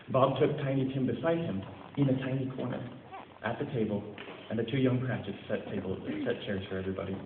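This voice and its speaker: A man giving a dramatic storybook reading in a theatrical, phrase-by-phrase delivery. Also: mid-range voice. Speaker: story reader. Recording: tinny, noisy, echoey hall recording.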